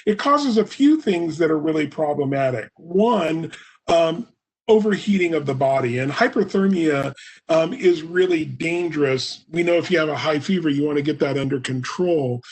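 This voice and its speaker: A man presenting a remote webinar lecture into a computer microphone, hoarse and coughing now and then, explaining at a measured pace with many fillers. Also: on the high side, animated.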